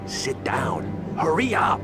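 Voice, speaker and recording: An actor playing a talkative, nervous, wry police detective in a video game, casual and edgy. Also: male voice, a little high, huge pitch swings, slow, full character voice. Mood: nervous, irritated. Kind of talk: video game cutscene acting. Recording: loud music behind the voice.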